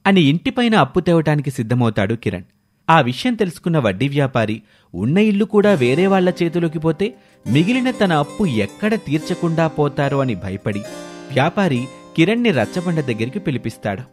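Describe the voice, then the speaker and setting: A man's voice: a little high, sing-song, brisk, a little mellow, soft background music. A man narrating a scripted moral story as its storyteller.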